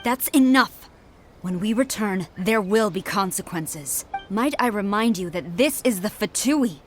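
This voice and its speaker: A woman's voice: mid-range voice, lively intonation, unhurried, lightly acted. Woman playing a stern general over faint music. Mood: stern, reprimanding. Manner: formal, commanding, clipped. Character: stern, dutiful female military general. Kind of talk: video game voice acting.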